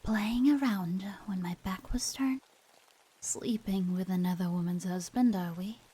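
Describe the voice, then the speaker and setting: A woman plays the ghost of a jealous killer in a horror audio play. Her voice is dark and husky, with an eerie, menacing hush that often sinks toward a whisper. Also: mid-range voice, unhurried. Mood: menacing taunting. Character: vengeful glowing ghost of a jealous murderous woman.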